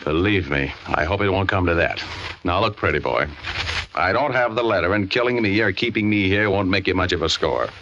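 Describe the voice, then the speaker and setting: Sardonic gumshoe, slangy and wisecracking, very animated delivery, vintage radio drama with clear hiss. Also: male voice, low-pitched, a little fast. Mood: defiant, mocking. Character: wisecracking hard-boiled male private detective. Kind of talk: 1940s radio detective drama acting. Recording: noisy, muffled old radio recording with clicks.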